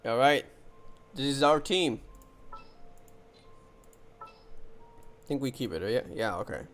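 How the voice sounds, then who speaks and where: A man with a mid-pitched voice gives casual gameplay commentary. He thinks aloud in short, offhand reactions, with faint music behind him. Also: lively intonation, takes it slowly, a bit bright. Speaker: gameplay streamer.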